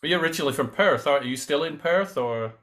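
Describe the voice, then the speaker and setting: A man with a hoarse voice hosting a casual interview over a video call. He talks in a jokey, chatty, upbeat way. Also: on the high side, expressive, quick pace, fairly bright tone. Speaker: host.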